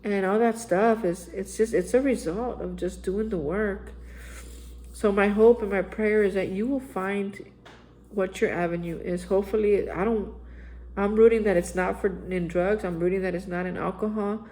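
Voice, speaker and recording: A man's voice: high voice, steady tone, moderate pace, a little mellow, rough recording. Earnest man giving a recovery pep talk. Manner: earnest, exhorting, repeats phrases and says "man". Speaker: recovery vlogger. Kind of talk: daily spiritual reflection monologue. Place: Austin, Texas area.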